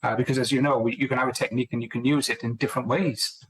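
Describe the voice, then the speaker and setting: A man's voice: medium pitch, fairly even intonation, racing, fairly bright tone, slightly rough, poor audio. A man co-authoring a book, chatting casually in an interview and often restarting his sentences. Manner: rambling, restarts sentences, friendly. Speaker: co-author guest.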